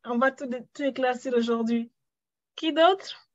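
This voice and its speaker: A woman hosting a workshop over a video call, brisk and welcoming, laughing easily between questions. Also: high-pitched, darkish tone, no room sound, lo-fi sound. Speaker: workshop host.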